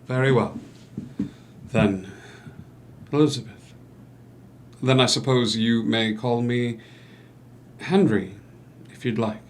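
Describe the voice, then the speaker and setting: An actor playing a courteous, hesitant gentleman doctor new in town, speaking in formal, halting phrases at a theatrical table read. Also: mid-range voice, steady tone, slight background noise, lightly acted. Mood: hesitant, charming. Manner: formal, halting, old-fashioned politeness. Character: courteous, hesitant male doctor newly arrived in town, subtly ingratiating.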